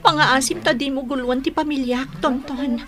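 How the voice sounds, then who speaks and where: A high-voiced, pleading Ilocano deceiver, radio drama. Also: female voice, steady tone, normal speed, fairly bright tone. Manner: pleading, apologetic. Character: guilty young woman who deceived her lover.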